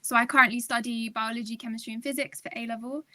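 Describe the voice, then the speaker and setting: Teen girl guest, UK-born, bright and chatty with lots of fillers; tight dry sound. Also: on the high side, fairly even intonation, quick pace, no room sound. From United Kingdom. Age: teens. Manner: chatty, many fillers like "kind of". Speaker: guest, nonprofit founder. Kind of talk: casual podcast chat.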